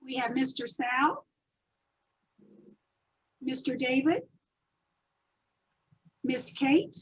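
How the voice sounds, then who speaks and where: A woman introducing children's stories as host, in simple measured phrases to her audience. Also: sits high, animated, slight background noise, rough recording. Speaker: host and presenter of a readers theatre.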